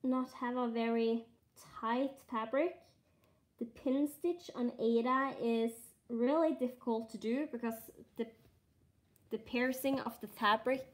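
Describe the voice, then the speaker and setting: A woman with a Norwegian accent, a native Norwegian speaker, chatting casually while stitching live and thinking aloud with frequent pauses and fillers. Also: a little high, steady tone. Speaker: cross-stitch streamer.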